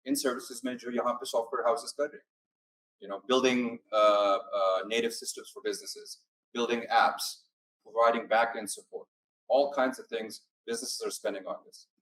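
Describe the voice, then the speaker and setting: A man's voice: lowish voice, poor audio. A man from Pakistan presenting at a business seminar, explaining in a mix of languages with frequent fillers. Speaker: immigration firm speaker.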